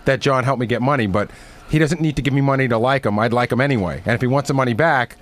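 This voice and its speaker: A man's voice: mid-range voice, fast talker. An aggrieved, insistent man arguing on air as a radio show staffer, often repeating himself to make his point. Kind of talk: heated on-air argument.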